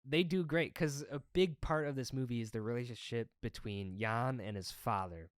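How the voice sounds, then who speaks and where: A man's voice: medium pitch, normal speed, clean tone, no room sound, studio quality. A man talking casually about movies as a speaker on a film podcast.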